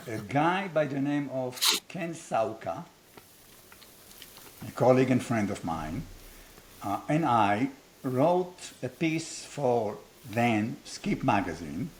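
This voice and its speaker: A man's voice: mid-range voice, slight background noise. Blunt older man with an Israeli accent. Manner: blunt, provocative, self-deprecating humor. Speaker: host, founder of a training academy. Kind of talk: unscripted conversation.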